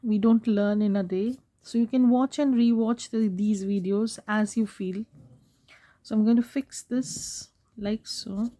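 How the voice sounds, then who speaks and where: A woman walks through a journaling craft project in a slow, unhurried way, her voice hoarse as she is losing it. She speaks softly with a mellow, dark tone and often pauses to clear her throat. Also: medium pitch, steady tone, slight background noise. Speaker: craft channel host. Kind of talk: casual craft tutorial voice-over.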